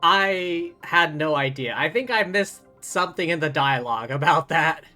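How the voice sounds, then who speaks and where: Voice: medium pitch, lively intonation, moderate pace, bright, forceful tone. A game streamer giving casual live commentary, reading along and reacting to the game. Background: game music.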